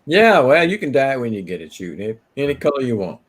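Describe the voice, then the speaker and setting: A man's voice: medium pitch, very animated, fast. A man in his sixties co-hosting a casual livestream, easygoing and teasing, laughing often. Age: 60s. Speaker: co-host. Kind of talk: casual chat.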